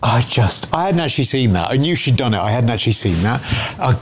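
Male pundit, exasperated and chatty, lively intonation, faint noise behind. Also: medium pitch, quick pace. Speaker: panel guest. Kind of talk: casual opinionated rant.

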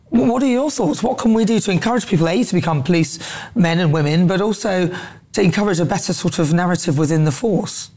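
A woman presenting and hosting live TV news in a brisk, lilting way, giving her own opinions as she interviews a guest. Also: on the low side, warm tone.

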